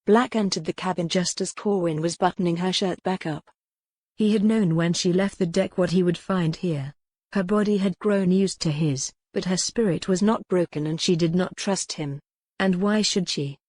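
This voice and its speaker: A woman narrating a historical romance audiobook in a measured, careful storytelling manner. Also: fairly low pitch, darkish tone, dry recording.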